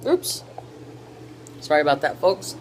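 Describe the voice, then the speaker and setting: A woman's voice: low-pitched, sing-song, a little slow, background noise. Chatty woman in her forties, folksy. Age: 40s. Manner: chatty, folksy, self-interrupting with fillers. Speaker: skincare vlogger. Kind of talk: casual how-to chat. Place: small home bathroom.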